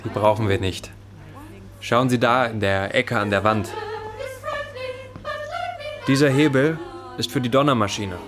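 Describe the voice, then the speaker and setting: A man plays a studio lighting technician in a radio drama. His medium-pitched voice explains things practically and plainly over clear music. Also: unhurried, pauses often, lightly acted. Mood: matter-of-fact. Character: matter-of-fact male film studio lighting technician.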